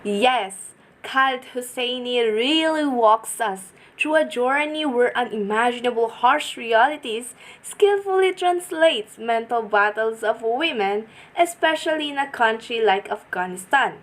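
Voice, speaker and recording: A woman's voice: fairly high pitch, animated, measured pace. A woman co-hosting a student radio show, speaking enthusiastically in a scripted way. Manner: enthusiastic, reviewing. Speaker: radio co-host.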